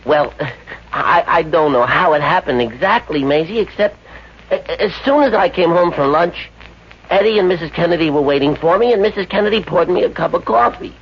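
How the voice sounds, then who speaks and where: Husky, high-voiced hapless young man. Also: fairly quick. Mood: forlorn, dazed. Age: young adult. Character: gullible, hapless lovesick young man turned smug rich. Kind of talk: 1950s radio comedy acting. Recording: muffled old radio recording.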